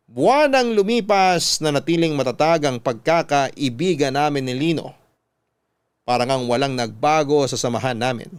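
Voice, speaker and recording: An actor with a Filipino accent narrating a first-person radio story as an earnest, reflective, confessional storyteller. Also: male voice, medium pitch, smooth, dry recording, lightly acted. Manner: measured, sincere, confessional. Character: earnest, reflective male storyteller recalling a troubled former lover.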